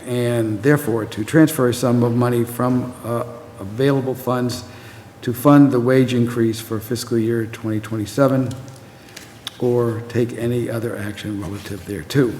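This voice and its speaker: A man reading formal articles aloud at a committee meeting in a flat, even manner. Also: mid-range voice. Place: town meeting room. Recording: echoey room with long reverb and faint hiss.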